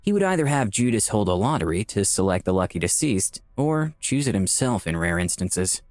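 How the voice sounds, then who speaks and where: A man's voice: lowish voice, very animated, normal speed, a little mellow, no echo, faint music behind. A man playing a skeptical, dryly sardonic dead man in Hell, narrating a visual novel in a wry, conversational way. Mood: explaining.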